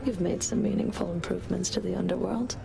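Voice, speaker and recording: An actress playing a cold, menacing Fury guarding the underworld in a video game, taunting and disdainful. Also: female voice, mid-range voice, steady tone, fast, creaky. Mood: cool, disdainful.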